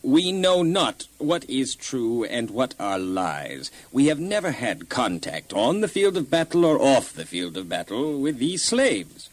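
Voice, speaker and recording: An animated, deferential army general. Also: male voice, medium pitch, measured pace, hardly any pauses, no room sound. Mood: cautious, deferential. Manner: obsequious, hesitant before his king. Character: deferential army general, cautious, cunning and ruthless. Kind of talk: dramatized children's audio Bible story.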